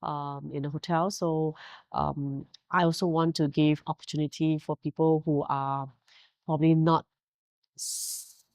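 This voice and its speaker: Singaporean woman, forties, Mandarin native; low voice, dry close sound; storytelling interview guest, laughs often. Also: level tone, a little slow, no echo. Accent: Singaporean English. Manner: warm storytelling with frequent laughs and fillers. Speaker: guest, hotel director. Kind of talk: casual interview.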